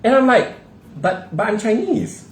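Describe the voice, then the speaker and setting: A Singaporean man, co-host of a casual chat, telling stories and slipping into put-on accents. His pitch swings widely as he talks. The room is echoey, with a faint hum behind him. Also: a little slow. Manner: animated storyteller, puts on a Hong Kong accent.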